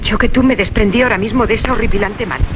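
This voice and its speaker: An actress playing a serious, disapproving young student in a radio drama, with a Castilian Spanish accent. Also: female voice, mid-range voice, rapid-fire, muffled recording, noisy background, natural voice. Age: young adult.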